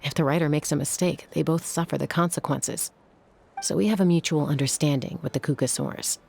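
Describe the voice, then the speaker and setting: A woman playing a cool, frank young sky rider in an English game dub, measured and assured. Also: deep voice, fairly even intonation, creaky, a little mellow, slight background noise. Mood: serious.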